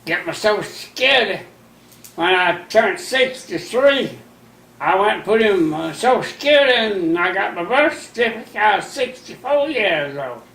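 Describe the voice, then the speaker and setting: Older man, 90s, bright folksy storyteller, echoey room. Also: sits high, animated. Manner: folksy, unhurried storytelling with plain rural grammar. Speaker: interviewee. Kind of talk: rambling recollection.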